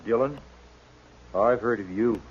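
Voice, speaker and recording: An actor playing a sick, bitter homesteader father and war veteran in a 1950s radio western, weak and halting, with a rural American drawl. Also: lowish voice, breathy edge. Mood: weary, resigned. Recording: muffled, noisy old radio broadcast.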